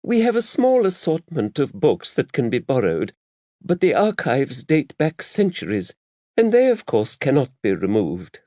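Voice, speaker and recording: A man's voice: medium pitch, wildly swooping pitch, a little slow, warm tone, no room sound, highs cut off, pitched above the performer's own voice. A man voicing a demure, earnest librarian nun with prim, scholarly speech in an audiobook. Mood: prim, guarded. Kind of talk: audiobook character dialogue.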